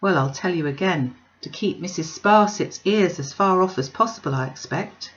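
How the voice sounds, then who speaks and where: Woman as breezy, coaxing young gentleman, muffled sound. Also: on the low side, moderate pace, natural voice. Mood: confiding. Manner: breezy, slangy, cajoling. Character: Tom, selfish, coaxing young gentleman, wheedling his sister.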